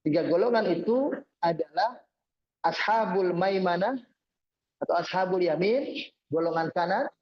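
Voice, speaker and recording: Husky high-voiced man preaching. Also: expressive, unhurried, long pauses, dull audio. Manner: explanatory, repeats points with "ya" and "nah"; recites Arabic verses then translates. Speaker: Islamic preacher giving Quran commentary. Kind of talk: religious study lecture.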